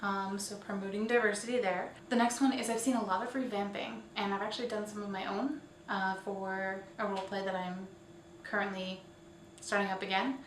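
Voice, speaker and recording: Female vlog host, informal and hesitant, mid-pitched, recorded away from the mic in an echoey room. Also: flat delivery, fairly quick, hardly any pauses. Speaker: host of a weekly online show. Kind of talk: casual scripted-outline vlog commentary. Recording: roomy, distant mic, faint noise.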